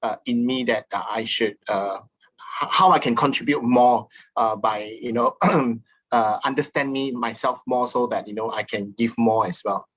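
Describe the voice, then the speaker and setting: A man's voice: medium pitch, fairly even intonation, measured pace, a bit bright, low-quality recording. A man from Malaysia introducing himself hesitantly with many "you know" fillers over a video call. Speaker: workshop participant.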